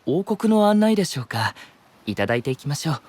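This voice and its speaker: A gentle, composed knight companion in an anime audio drama. He speaks politely in a slightly high, expressive voice. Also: quick pace, slight background noise. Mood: courteous, interested. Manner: courteous, formal polite speech.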